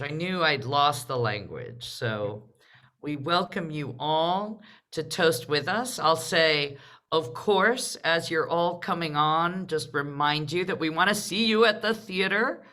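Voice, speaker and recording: A woman with a bright, forceful voice hosts a live online event. Her delivery is slow and casual, broken into short phrases with frequent little check-ins. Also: sits low, lively intonation. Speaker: host of a live theatre discussion. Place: video call.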